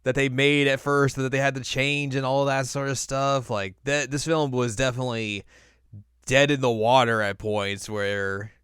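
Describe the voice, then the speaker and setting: A man's voice: medium pitch, fairly even intonation, normal speed, fairly bright tone, smooth, no room sound, studio quality. A man co-hosting a podcast in casual chat, with an informal manner and wry asides. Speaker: podcast co-host. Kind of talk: casual chat ranking video game movies.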